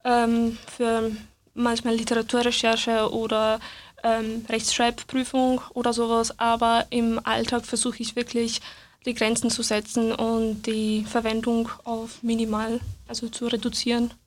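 Higher, even-toned young woman. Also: measured pace, slight background noise. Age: young adult. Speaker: student guest. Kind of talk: casual personal account.

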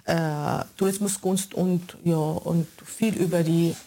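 Arabic-accented woman, halting interview speech. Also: fairly low pitch, steady tone, warm tone, slight background noise. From born in Germany, raised in Kuwait and Egypt. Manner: halting, searching for words in non-native German. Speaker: radio show maker being interviewed. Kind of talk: conversational interview answers.

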